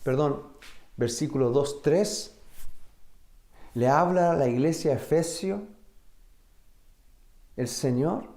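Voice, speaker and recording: A man from the United States, a native English speaker, preaching a sermon in a deliberate way, pausing and searching for words. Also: on the high side, warm tone. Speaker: pastor. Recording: roomy, echoing sound.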